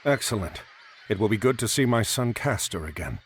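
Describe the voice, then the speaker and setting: Man as a middle-aged admiral hero, commanding, lively pitch, game cutscene, faint noise. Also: brisk, lightly acted. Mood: calm. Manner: commanding, gives orders.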